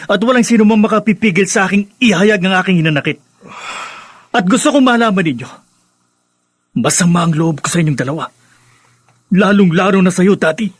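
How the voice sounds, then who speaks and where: A man's voice: sits high, slightly rough, no echo. A man playing a defiant grown son in a radio drama, bitter, sarcastic and confrontational. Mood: bitter, hurt. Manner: sarcastic, confrontational, switches into English. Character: bitter, defiant grown son rejecting his new stepmother.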